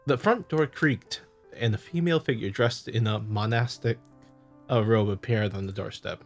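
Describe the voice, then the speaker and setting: A man's voice: on the low side, moderate pace, soft background music. A man hosting a visual novel playthrough, chatting casually and reading aloud, joking and stumbling over the text. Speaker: host, gamer.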